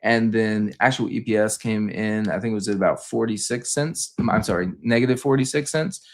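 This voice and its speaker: A man giving an unscripted financial debrief on a livestream, explaining things in a halting, repetitive way. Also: on the low side. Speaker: stock commentator on a livestream.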